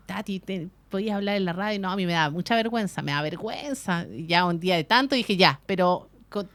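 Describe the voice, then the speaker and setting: Chilean woman, thirties, radio guest, smooth clear voice, dry close studio sound. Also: on the high side, fairly bright tone, no echo. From Chile, Aysén region. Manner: chatty, laughs easily, colloquial. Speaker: guest, city councillor and radio announcer. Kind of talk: casual radio interview.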